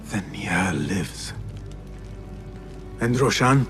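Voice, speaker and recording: An actor playing a grim, vengeful young assassin with intense, formal delivery in a game cutscene. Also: male voice, sits low, expressive, very slow, fairly bright tone, soft background music, heavily acted. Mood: worried, urgent. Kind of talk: dramatic video game cutscene acting.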